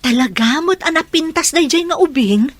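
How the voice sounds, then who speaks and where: A woman's voice: high voice, expressive, moderate pace. A woman playing a scheming, money-minded middle-aged mother in a Filipino radio drama.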